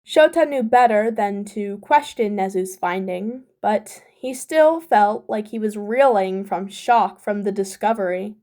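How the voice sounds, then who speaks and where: A woman's voice: mid-range voice, measured pace, few pauses, a little mellow. A woman reading scripted fan fiction aloud as an amateur audiobook narrator.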